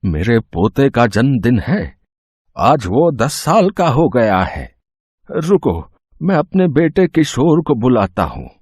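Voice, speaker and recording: Man playing a slow, swooping elderly grandfather. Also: mid-range voice, sing-song, darkish tone, breathy edge, no room sound, studio quality, exaggerated voice. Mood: warm welcoming. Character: kindly elderly grandfather, hospitable and doting. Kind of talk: animated Hindi moral story acting.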